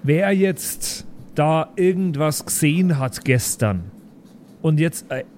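A man's voice: on the high side, slow, a little mellow, slight background noise. A man playing an earnest, worn-out schoolteacher who rambles, in an improvised tabletop audio play. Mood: earnest, weary. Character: Thomas, frazzled, earnest male schoolteacher.

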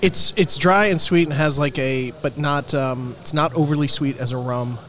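Guy co-hosting, friendly, kinda halting questions, slightly high voice. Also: a bit bright. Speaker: podcast co-host. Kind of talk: casual chat.

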